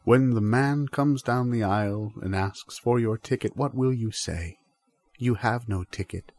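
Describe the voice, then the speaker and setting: A man's voice: mid-range voice, a little slow. A man performing an improvised comic monologue in a dry, solemn, theatrical manner. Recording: music behind the voice. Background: soft plucked guitar.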